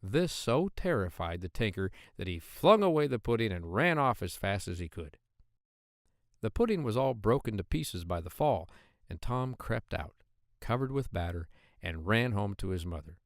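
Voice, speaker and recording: Male audiobook narrator, children's storybook, animated intonation, expressive storytelling, dry sound. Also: fairly low pitch, moderate pace, no room sound.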